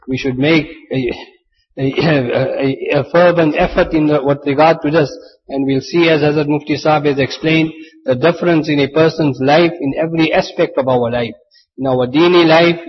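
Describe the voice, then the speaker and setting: A man hosting a religious gathering who introduces guests in a formal, halting way, often repeating words. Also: a little high, slightly rough. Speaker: event host. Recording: dull lo-fi sound with faint noise.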